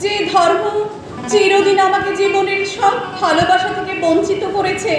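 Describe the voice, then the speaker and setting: High-voiced actress as devout young orphan woman, echoey. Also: level tone. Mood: earnest, grateful. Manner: emotive, earnest declamation with drawn-out phrases. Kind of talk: stage audio drama acting in Bengali. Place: large hall, live stage performance. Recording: echoey hall from far off, music behind.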